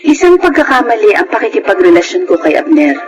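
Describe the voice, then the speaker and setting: A woman's voice: on the high side, sing-song, fast talker, highs cut off. A woman playing an emotional, earnest young single mother in a Filipino radio drama. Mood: reflective. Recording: radio drama with music behind.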